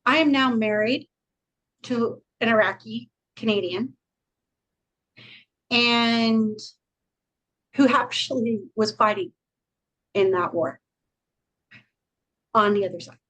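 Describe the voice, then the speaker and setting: A woman's voice: on the high side, slow, pauses often, fairly bright tone, rough recording. A woman co-hosting a podcast, talking frankly and earnestly in casual chat and laughing easily. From United States. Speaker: podcast co-host.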